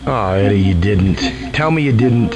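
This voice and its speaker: An actor playing a flippant, wisecracking team member in a video game, casual and sarcastic. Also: male voice, on the low side, lively intonation, measured pace. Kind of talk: video game cutscene acting. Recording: loud music behind, game audio.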